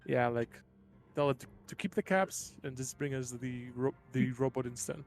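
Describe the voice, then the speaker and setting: A man's voice: medium pitch, fairly even intonation, moderate pace, faint music behind. A man playing a tabletop game, talking through plans in a casual, conversational way. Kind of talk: casual planning chat.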